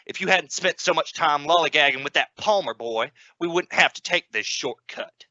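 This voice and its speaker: A man's voice: fairly high pitch, animated, moderate pace, bright, forceful tone, no room sound. A man playing an exasperated, sarcastic father of three in an old-time radio comedy. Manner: sarcastic, scolding. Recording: dull, noisy home-made remote recording.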